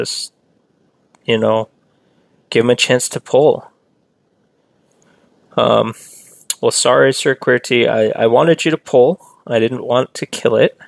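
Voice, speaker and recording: A man's voice: mid-range voice, creaky, dry recording. A man live-streaming a mobile strategy game, chatting casually and answering viewers in chat. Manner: chatty, reads and answers chat, rambling asides.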